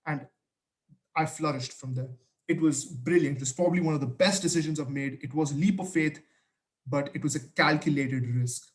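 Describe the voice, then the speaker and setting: A man's voice: fairly high pitch, a little mellow. Earnest young man with a raised voice. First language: Hindi. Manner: earnest, emphatic, frequent restarts. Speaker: student vlogger giving study advice. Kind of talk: casual advice vlog.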